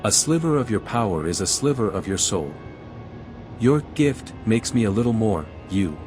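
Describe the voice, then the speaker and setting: A man playing a steady, guarded adventurer hero in a fantasy video game, speaking in a measured, serious way. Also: on the low side. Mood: wary, resolute. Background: music.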